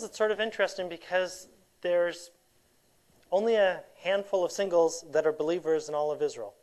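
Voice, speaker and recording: A woman sharing mission experiences in an easy storytelling manner. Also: fairly low pitch. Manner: storytelling, animated when quoting. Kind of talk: casual chat.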